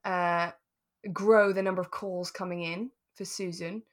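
A woman's voice: fairly low pitch, steady tone, measured pace. A British woman co-hosting a podcast, chatting casually and with a giggly, self-deprecating manner as she recaps a drama. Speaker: podcast co-host.

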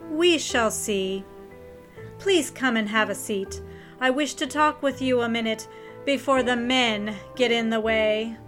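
An actress playing a commanding, refined older matriarch of a wealthy family in a 1930s audio drama. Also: female voice, on the high side, a little slow, fairly bright tone, faint music behind. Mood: poised, appraising. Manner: refined, formal, composed.

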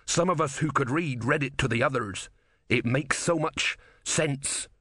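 A man's voice: medium pitch, unhurried, pushed and bright, husky, no room sound, clean studio sound, voice pushed up. A man voicing a fervent, hot-headed male soldier in an audiobook story, urgent and zealous. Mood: reverent, urgent.

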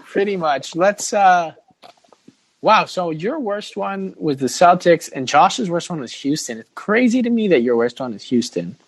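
A man's voice: sits high, animated, a little slow, husky. A man co-hosting a casual sports podcast, joking and steering the banter playfully. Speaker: podcast co-host.

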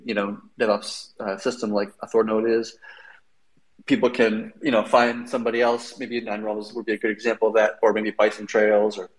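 A man's voice: fairly low pitch, poor audio. Sniffly man giving a rambling tech update. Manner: rambling, many fillers. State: sniffly from a cold. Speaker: developer guest. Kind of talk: casual technical update.

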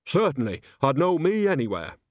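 A man's voice: fairly high pitch, very animated, normal speed, dry recording, pitched above the performer's own voice. A man voicing a cheeky, jolly old witch woman with a breezy, homely, chatty manner in an audiobook. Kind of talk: audiobook character voice.

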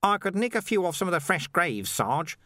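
A man's voice: high voice, sing-song, a little fast, bright, forceful tone, dry recording, studio quality, pitched above the performer's own voice, full character voice. A man voicing a shifty, cheeky male watchman sidekick in an offhand way.

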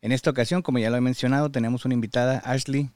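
A man hosting a podcast who thanks his listeners and interviews a guest in a slow, earnest way. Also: mid-range voice, fairly even intonation, darkish tone, no room sound. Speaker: podcast host.

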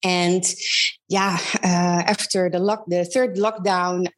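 A woman in her thirties from the Netherlands with a Dutch accent, speaking as an interview guest, often pausing and restarting her sentences. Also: fairly low pitch, flat delivery. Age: 30s. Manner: hesitant, many ums and restarts. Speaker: guest, business advisor. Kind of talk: casual interview conversation.

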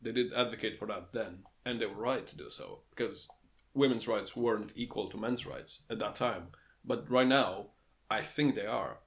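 A man co-hosting a casual podcast, his voice sitting a little low. He lays out his points in a dry, matter-of-fact way and breaks into laughter now and then. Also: a bit bright. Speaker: podcast co-host.

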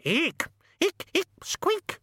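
Tiny skeleton rat, shrill squeaks, very high, dry. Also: male voice, huge pitch swings, extremely slow, pushed and bright, dry recording, heavily acted. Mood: agitated.

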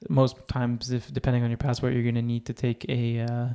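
A young man talks in an easy, unhurried podcast chat. His voice sits at an ordinary middle pitch. He gets excited and doubles up his words to stress a point. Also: smooth. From Israel. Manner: enthusiastic, repeats words for emphasis. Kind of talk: casual chat.

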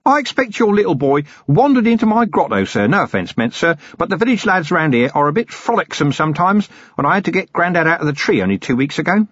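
A chirpy, rambling old hermit. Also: male voice, fairly high pitch, big pitch swings, fast talker, hardly any pauses, a bit bright, no room sound, pitched above the performer's own voice. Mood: eager chatter. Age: older. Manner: eager, rambling, deferential, chuckling at his own jokes. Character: cheerful garrulous old male hermit, chatty and ingratiating. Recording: dull, dry, lo-fi recording.

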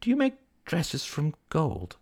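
A man's voice: a little high, huge pitch swings, unhurried, a little mellow, voice pushed up, heavily acted. A man voicing a naive, earnest young woman in an audiobook, hesitant and polite in manner. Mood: pensive, resolute. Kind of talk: audiobook character voice.

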